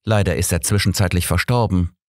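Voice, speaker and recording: A man's voice: low-pitched, steady tone, fairly quick, darkish tone, dry recording, studio quality. A man voicing an earnest, sorrowful businessman in an audiobook, polite and explanatory in manner. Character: earnest, sorrowful businessman from abroad, protesting innocence.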